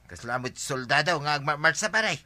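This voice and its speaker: A conniving village drinking pal in a radio comedy, with an Ilocano accent. He sounds very sharp and forceful, somewhat high for a man, and coaches bossily and emphatically. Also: expressive, fairly quick. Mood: bossy, urging. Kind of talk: comedy radio drama acting.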